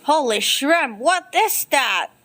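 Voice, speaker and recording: A woman voicing an excitable, easily angered cartoon villain with exclamatory, dramatic delivery in an amateur animation. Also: high-pitched, takes it slowly, full character voice. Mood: astonished.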